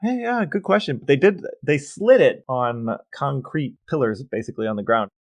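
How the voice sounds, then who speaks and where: A man's voice: mid-range voice, lively intonation, moderate pace, darkish tone, no echo. A man co-hosting a casual podcast, explaining facts and figures at length with some banter. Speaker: podcast co-host.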